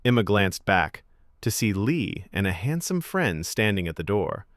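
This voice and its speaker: A man's voice: fairly low pitch, very animated, measured pace, no room sound, clean studio sound. A man reading scripted fiction narration as a storyteller.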